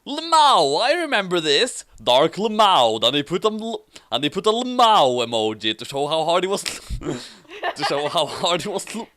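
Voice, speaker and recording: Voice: mid-range voice, very animated, normal speed, fairly bright tone. A host doing a comic dramatic reading of fan fiction with casual banter, theatrical and prone to stumbling and repeating phrases.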